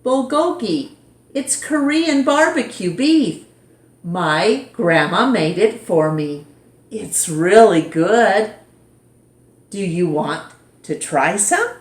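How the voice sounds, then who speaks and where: A woman's voice: medium pitch, animated, takes it slowly. Woman as a friendly, earnest storybook schoolboy, echoey. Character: friendly, polite new schoolboy in a picture book. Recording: roomy echo.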